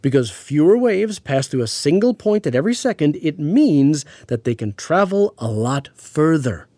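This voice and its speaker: A learned astrophysicist in a Cold War radio drama, with a mellow, lightly high voice and lively intonation. He explains patiently and precisely, a touch pedantic, in a measured interview manner. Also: no echo. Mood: explanatory, precise. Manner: pedantic, measured, hesitant with hedging asides. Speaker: astrophysicist guest on a radio show. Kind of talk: audio drama acting as a radio interview guest.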